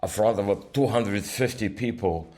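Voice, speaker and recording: A man in his fifties from Ukraine with a Ukrainian accent, speaking as a guest comedian in a casual podcast interview, emphatic and halting in his phrasing. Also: fairly low pitch, dark tone. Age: 50s.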